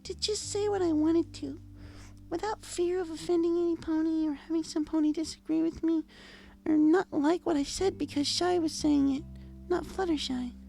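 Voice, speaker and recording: A timid, gentle young mare from a fantasy audio story, acted by a woman. The voice is very high and mellow with music behind it, small and hesitant in every phrase. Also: fairly even intonation, normal speed, vocal fry. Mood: timid confiding. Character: shy, gentle young female pegasus pony. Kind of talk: audio fan-fiction character reading.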